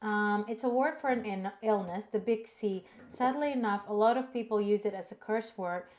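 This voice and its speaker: A woman with an Indonesian accent chats through a home cooking stream. She reads out viewer comments in a relaxed, rambling way, with plenty of ums and easy laughter, at a middling pitch for a woman. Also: moderate pace. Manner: chatty, laughs often, hesitant fillers. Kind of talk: casual chat, reading viewer comments.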